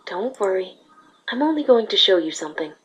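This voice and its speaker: A woman playing a calm, patient, regal winged unicorn ruler, composed, formal and gently persuasive, in an amateur fan fiction drama. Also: mid-range voice, fairly quick, slightly rough, low-quality recording. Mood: gentle, reassuring.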